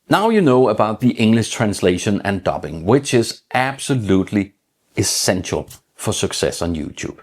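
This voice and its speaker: A middle-aged man from Denmark with a Danish accent, explaining his channel strategy in an informal vlog, measured and plain, with some slightly broken phrasing. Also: fairly low pitch. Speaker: channel host.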